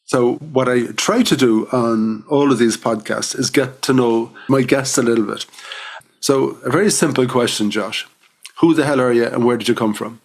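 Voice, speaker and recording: A man's voice: medium pitch, moderate pace, gravelly. A man hosting a podcast interview, chatty and enthusiastic, often laughing between points. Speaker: podcast host. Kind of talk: casual interview chat.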